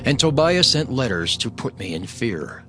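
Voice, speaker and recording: An actor playing a steadfast, devout governor, narrating dramatised scripture in old-fashioned English with a solemn, measured, declamatory delivery. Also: male voice, mid-range voice, very animated, fairly bright tone. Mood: solemn, resolute. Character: steadfast, devout governor telling his own story. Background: music.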